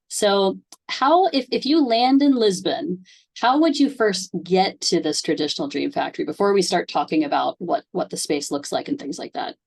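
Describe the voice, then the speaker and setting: A woman's voice: on the low side, gravelly, a little mellow. A woman hosting an interview show in a conversational style, asking questions and adding her own remarks. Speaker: podcast host.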